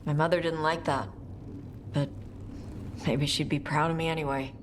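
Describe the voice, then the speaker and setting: Blunt, deep-voiced warrior woman played by an actress. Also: little pitch movement, moderate pace, noisy background, natural voice. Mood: heartfelt. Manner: blunt, short sincere phrases. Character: blunt, brave young female dragon hunter warrior. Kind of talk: video game character acting.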